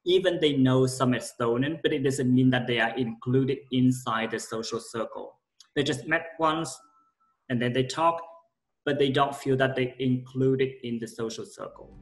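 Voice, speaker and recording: A man from Vietnam answering interview questions in plain, halting sentences. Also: medium pitch, rough recording. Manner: plain, searching for words, second-language phrasing. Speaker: interviewee, working in Tallinn after a doctorate.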